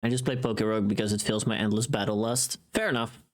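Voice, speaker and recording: Casual livestream host, medium pitch, loose rambling chat, often muttering to self between tasks. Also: fairly even intonation, fast. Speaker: livestreamer, voice actor.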